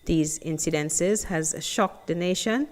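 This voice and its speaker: A woman's voice: lowish voice, a little slow. A woman reading scripted news narration as a reporter.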